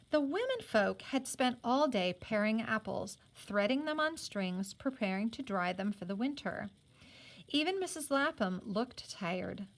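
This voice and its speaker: A woman with a fairly high voice reads a children's novel aloud. She narrates expressively, in the manner of a teacher reading to a class. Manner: acts out the characters' speech. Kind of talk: expressive storybook read-aloud.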